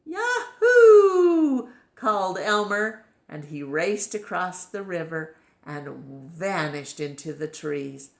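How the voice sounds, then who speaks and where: A woman's voice: sits low, fairly even intonation, takes it slowly, creaky, hardly any pauses, fairly bright tone, echoey room. A woman narrating a children's picture book expressively as a storytime reader.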